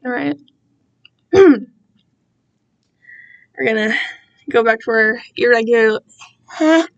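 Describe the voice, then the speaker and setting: A woman's voice: a little high, slow pace, highs cut off. A young woman chatting casually on a livestream in a rambling, self-deprecating way full of giggly internet slang. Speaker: amateur writer reading own fan fiction.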